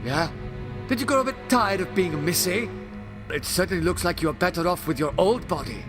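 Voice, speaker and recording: Indignant alien hero , male actor, high voice, grave and declamatory, fan audio play with prominent music. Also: animated, a little fast. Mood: indignant, alarmed. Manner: impassioned, declamatory. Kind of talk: audio drama acting.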